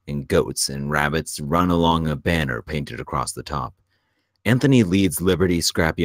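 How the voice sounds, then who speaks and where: A man with an extremely deep voice reads screenplay action lines as a narrator. The sound is close and dry, in a scripted table-read style. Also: no room sound. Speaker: narrator reading stage directions.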